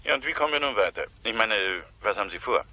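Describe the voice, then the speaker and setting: Sharp-voiced, impatient rich client. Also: male voice, on the low side, expressive, quick pace, highs cut off, heavily acted. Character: impatient, reserved male millionaire client. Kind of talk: German crime radio play acting.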